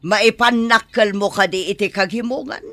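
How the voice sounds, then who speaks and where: A woman's voice: medium pitch, normal speed, a bit bright, close to an everyday voice. A woman playing a stern middle-aged mother who pushes her son toward the match she wants, in an amateur radio drama. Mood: disapproving.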